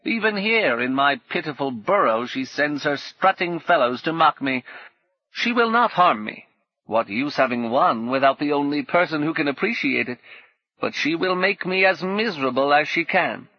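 A bitter, rueful old woman, as a male narrator puts her on speaks up emphatically, her voice lifted above his own and pushed a little louder. The tone is husky, and the recording is dull and lo-fi. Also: fairly high pitch, lively intonation, normal speed, dry recording, voice pushed up. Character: rueful old woman fallen on hard times.

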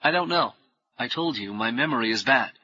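A man's voice: mid-range voice, lively intonation, fairly quick, sharp tone, breathy edge, highs cut off. A man reading a weary, confused man with a failing memory, hesitant and strained, in an audiobook. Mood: defensive, strained.

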